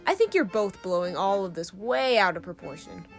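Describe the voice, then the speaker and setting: A woman's voice: fairly low pitch, animated, normal speed, creaky, faint music behind. An actress playing a breezy, mischievous young troublemaker in an audio drama, glib and playful in her delivery. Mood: breezy, speculative. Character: breezy, mischievous young troublemaker full of half-baked theories.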